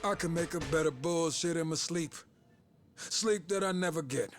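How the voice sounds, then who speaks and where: An actor playing a jaded, sleep-deprived official in a video game, blunt and wearily sarcastic. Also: male voice, a little high, normal speed, music in the background, lightly acted. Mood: exasperated. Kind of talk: cinematic video game acting.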